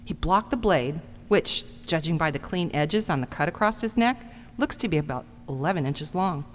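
A woman plays a dry, matter-of-fact coroner in a radio play. Her voice is fairly low and very expressive. It rings in a reverberant hall. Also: moderate pace. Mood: wry, matter-of-fact. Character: wry, matter-of-fact female medical examiner. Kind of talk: audio drama acting. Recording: long hall reverb, faint hum.

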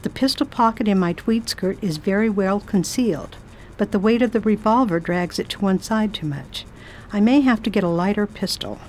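A woman playing a formidable, brisk spinster travel writer with a crisp, commanding manner in an amateur radio drama. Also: mid-range voice, darkish tone, slight background noise, lightly acted, rough recording. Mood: stern.

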